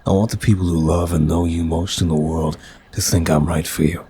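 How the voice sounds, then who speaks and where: Low-voiced, charming, nervous mafia boss played by a man. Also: flat delivery, a little fast, warm tone, slight background noise, close to an everyday voice. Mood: tender, flirtatious.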